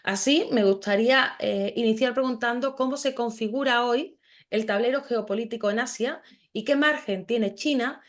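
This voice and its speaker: A woman moderating a panel discussion, reading out guest introductions in a formal, measured way with small restarts. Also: on the high side.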